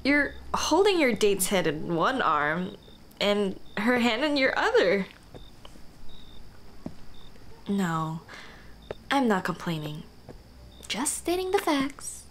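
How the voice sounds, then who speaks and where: A shy, teasing dullahan girl played by a woman in an intimate ASMR romance roleplay. She speaks slowly and softly, hesitating and often sinking toward a whisper. A light noise sits behind the voice. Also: medium pitch, sing-song. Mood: amused, teasing.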